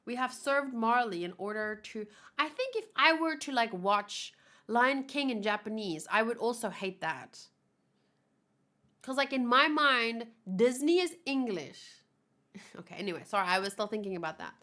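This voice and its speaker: A woman's voice: a little high, animated, normal speed, pushed and bright. A woman whose first language is Afrikaans, chatting casually in a rambling, giggly way and often correcting herself as she hosts a manga read-along.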